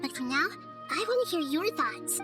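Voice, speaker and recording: A high, girlish voice of a small god of wisdom, sits over clear background music. She is gentle and thoughtful, choosing words with care. The delivery is measured, articulate video game acting. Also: very animated. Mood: earnest, thoughtful. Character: wise, gentle little-girl god of wisdom.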